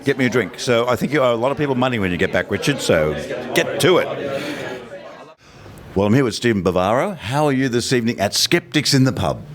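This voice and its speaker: A man's voice: mid-range voice, lively intonation, fast. A man working as a roving podcast interviewer, chatting casually in a jokey, rambling way in a pub. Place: busy pub. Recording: busy pub chatter behind.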